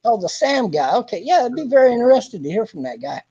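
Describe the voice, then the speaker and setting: A man's voice: extremely high pitch, big pitch swings, fast talker, dark tone, breathy edge. A man hosting a casual livestream, explaining things step by step in a rambling way. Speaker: livestream host. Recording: dull lo-fi stream audio.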